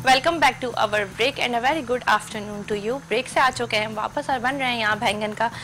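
A woman's voice: on the high side, a little slow, music in the background, low-quality recording. A young woman, hosting a TV cooking demonstration from a studio kitchen and walking viewers through each step. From Pakistan. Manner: instructive, addresses viewers directly. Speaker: cooking show host.

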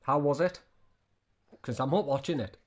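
A man's voice: fairly high pitch, moderate pace. A man in his thirties giving casual, scornful reaction commentary, often repeating his questions. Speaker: reaction video host.

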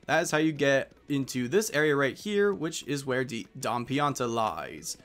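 A man's voice: mid-range voice, animated, moderate pace, fairly bright tone, soft background music. A man giving casual commentary as he plays through a game. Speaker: let's player.

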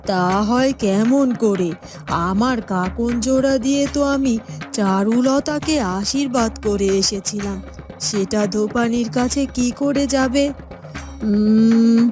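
A woman voicing a bossy, suspicious village headman's wife in a cartoon, with a commanding manner. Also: on the high side. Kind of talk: cartoon voice acting. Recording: music and birdsong behind the voice.

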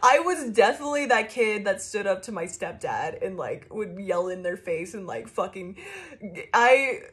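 A young woman doing a casual tarot reading to her phone camera in a small room, with a loud, carrying voice and a rambling, profane way of talking full of fillers. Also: medium pitch, lively intonation, moderate pace. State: shaky. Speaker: tarot reader.

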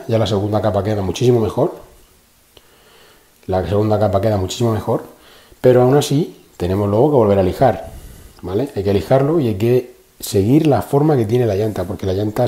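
A man's voice: on the low side, mellow, echoey room. A man gives a casual, chatty how-to explanation and repeats himself often. He records on a phone held in one hand in an indoor garage. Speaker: car channel host.

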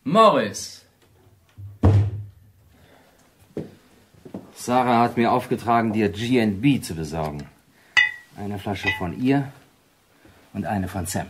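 A Soviet spy handler in a radio play. His voice is fairly low, calm and regretful, and he holds things back. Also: a little slow, noisy background. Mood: matter-of-fact. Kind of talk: German radio drama acting.

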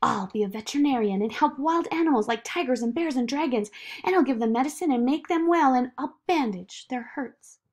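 A woman voicing an excitable, defiant little girl with big dreams in an animated picture-book read-aloud, eager and emphatic. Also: high-pitched, brisk, pitched above the performer's own voice.

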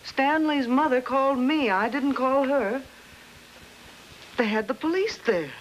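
A woman's voice: sits high, lively intonation, measured pace. A middle-aged woman playing an anxious, polite suburban mother and wife in a 1950s film, genteel in manner. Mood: worried, reproachful. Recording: noisy old film soundtrack.

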